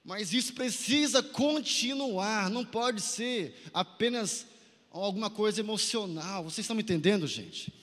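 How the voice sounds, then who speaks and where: A man's voice: high-pitched, normal speed, a bit bright. A Brazilian man preaching a sermon at a youth conference in an exhorting manner, in an echoey large hall. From Santarém, Pará, northern Brazil. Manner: exhorting, asks listeners to repeat phrases and respond.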